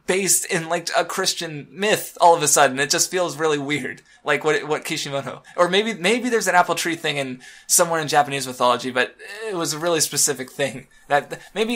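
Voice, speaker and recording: A man co-hosting a casual podcast, rambling with many restarts and fillers, a bit hoarse. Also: fairly high pitch, fairly bright tone. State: throat-clearing, a bit hoarse. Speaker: podcast co-host. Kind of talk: casual chat about manga.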